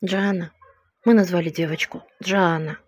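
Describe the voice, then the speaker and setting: A woman at an animal shelter talks to viewers in a soft, tender, reassuring way as she tends a sick dog. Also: on the low side, steady tone, normal speed, dry recording. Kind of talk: addressing viewers while caring for a sick dog. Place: shelter room indoors. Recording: loud background noise.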